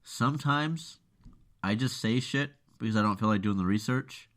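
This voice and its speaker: A podcast host with a cold, sounding nasal and congested, chatting bluntly and offhand. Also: male voice, on the low side, normal speed, background noise. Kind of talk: casual chat.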